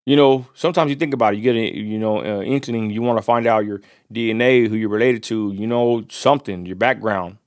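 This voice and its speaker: A man's voice: lowish voice, brisk, hardly any pauses. A man from Texas with a West Texas accent, hosting a livestream in a blunt, profane ranting style. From Texas, USA. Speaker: livestream host. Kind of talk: casual ranting livestream commentary.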